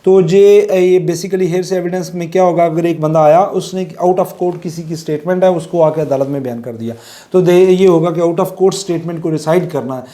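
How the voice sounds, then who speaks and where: Mellow-voiced Pakistani man lecturing. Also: fairly high pitch, moderate pace. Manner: explanatory, conversational, illustrating with everyday examples. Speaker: law lecturer. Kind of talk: informal lecture, mixing in English legal terms.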